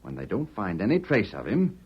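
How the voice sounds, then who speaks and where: Bossy greedy swindler, husky voice, very lively swings in pitch, radio western, dull vintage sound. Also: male voice, brisk, heavily acted. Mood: commanding, calculating. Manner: domineering, smooth, calculating. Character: scheming, greedy, bossy Eastern swindler villain. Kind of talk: 1940s radio western acting. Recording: dull, muffled old radio recording.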